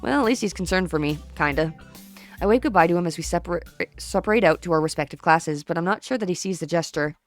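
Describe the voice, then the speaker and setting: A woman reads a visual novel's first-person narration aloud at a fast clip, her voice sitting fairly low. She now and then trips over a word and restarts, with faint music underneath. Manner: brisk, with occasional stumbles.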